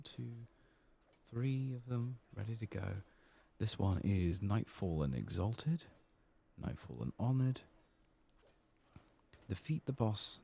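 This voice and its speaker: Male ASMR game host: low-pitched, lilting soft murmur that slips into whispers, faint noise behind. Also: vocal fry. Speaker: gaming channel host.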